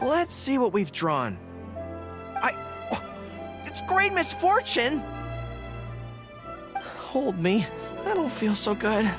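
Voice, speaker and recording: Game role: earnest dog-eared boy general, excitable and very animated, music underneath. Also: fairly high pitch, slow, long pauses. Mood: alarmed, indignant. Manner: excitable, stammering when flustered. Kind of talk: video game character dialogue.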